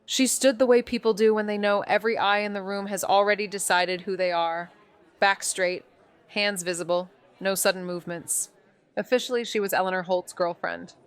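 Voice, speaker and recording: A woman's voice: lowish voice, clean tone, lightly acted. An actress playing an observant, sardonic young woman sleuth, narrating a noir audio drama with clipped, dry wit. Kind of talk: scripted noir narration and dialogue.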